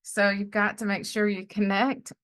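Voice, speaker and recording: A middle-aged woman hosting a podcast in a casual, animated chat, often breaking into easy laughter. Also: medium pitch, moderate pace. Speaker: podcast host.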